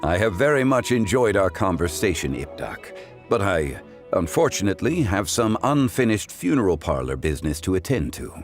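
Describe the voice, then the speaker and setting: A calm, formal scholar. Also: male voice, low voice, soft background music. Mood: composed. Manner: formal, measured, courteous. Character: composed, learned male scholar from the funeral parlor. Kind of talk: game voice acting.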